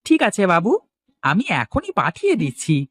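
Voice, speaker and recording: A woman's voice: on the low side, huge pitch swings, moderate pace, no room sound. Woman acting an obedient servant lad, dry sound. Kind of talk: cartoon dialogue acting.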